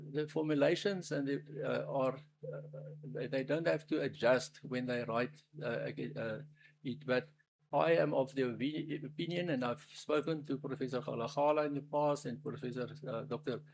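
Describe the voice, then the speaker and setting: Gravelly halting South African man. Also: medium pitch, rough recording. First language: Afrikaans. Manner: halting, often restarting and searching for words. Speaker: attorney making a submission at a public hearing. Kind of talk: formal spoken submission.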